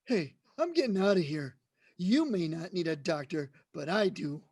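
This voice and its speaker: A woman's voice: on the low side, very animated, normal speed, darkish tone, slightly rough. A woman playing a spooked, blunt, suspicious local bystander in 1940s radio drama style. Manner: blunt, colloquial. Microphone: video call.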